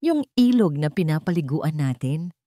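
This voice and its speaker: A woman's voice: low voice, very animated, moderate pace, warm tone, dry recording. An anguished, loving mother from a melodramatic story, acted by a woman narrator. She speaks emotionally and pleadingly, in a very dry recording. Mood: tender.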